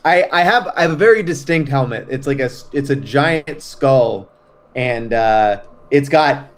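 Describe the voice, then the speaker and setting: A man's voice: medium pitch, animated, measured pace. A man talking as an interview guest in a blunt, brash, profane way. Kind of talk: casual conversational interview.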